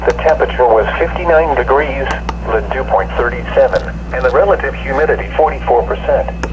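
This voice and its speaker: A man's voice: mid-range voice, a little fast, dull audio. A man reading a scripted weather forecast as an announcer, heard through a small radio speaker. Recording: noisy weather radio speaker, re-recorded in room.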